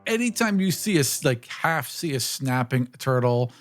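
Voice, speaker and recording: A man's voice: mid-range voice, very animated, normal speed, faint music behind. A man in casual podcast chat, riffing playfully on ideas. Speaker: podcast speaker.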